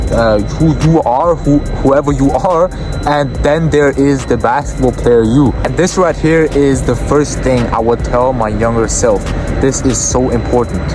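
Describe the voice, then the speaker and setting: A man's voice: mid-range voice, rough recording. Earnest young man, music playing under him. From Austria. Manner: earnest, repetitive, restarts often. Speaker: basketball trainer. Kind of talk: motivational vlog talk.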